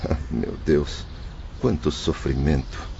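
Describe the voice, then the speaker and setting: A deep-voiced remorseful spirit. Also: male voice, slow pace, creaky, warm tone, slight background noise, heavily acted. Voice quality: earnest, sorrowful. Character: remorseful male spirit recalling his sorrows. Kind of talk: audio drama narration and dialogue. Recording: dull lo-fi audio with music behind.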